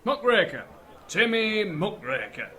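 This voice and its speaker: A man's voice: sits high, sing-song, measured pace, bright, forceful tone, full character voice. An actor with a Lancashire accent playing a jovial, one-armed older northern man who trades dry, folksy banter.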